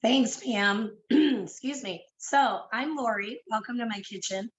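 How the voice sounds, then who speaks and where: A woman with a raspy, cold-roughened voice giving a friendly cooking demonstration from her home kitchen. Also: fairly high pitch, measured pace, highs cut off, slight background noise. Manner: friendly, explains each step plainly. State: hoarse from a cold.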